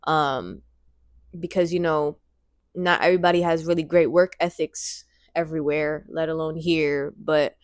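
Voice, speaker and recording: A woman in her twenties talking straight to camera in a casual, rambling vlog, excited and repeating her phrases, speaking into a handheld microphone. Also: deep voice, unhurried, no room sound, clean studio sound. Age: 20s. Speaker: vlogger.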